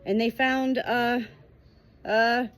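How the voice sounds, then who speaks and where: Woman voicing an emphatic, high-voiced storytelling ewe. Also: little pitch movement, very slow, soft background music. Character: woolly storytelling ewe, confident and grandiose. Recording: noisy home phone recording.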